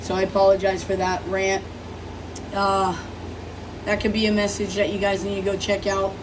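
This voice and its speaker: Woman tarot host, hoarse from illness, loose and rambling, distant mic, audible background hum. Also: medium pitch, completely flat delivery, moderate pace. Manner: rambling, apologetic, many fillers. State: sick, rough smoker-like voice. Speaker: tarot reader. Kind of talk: casual tarot reading monologue.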